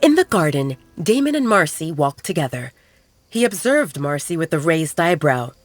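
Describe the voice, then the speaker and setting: Woman reading a romance audiobook, low voice, music playing underneath. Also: very animated, normal speed. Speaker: audiobook narrator. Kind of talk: scripted romance audio drama narration. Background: background music.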